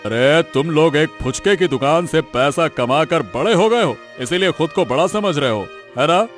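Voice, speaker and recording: A man's voice: on the high side, animated, normal speed, close to an everyday voice. A man voicing a smug, bullying village businessman in a cartoon moral story, self-important and condescending. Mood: threatening. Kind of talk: animated moral story dialogue in Hindi. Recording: music behind the voice.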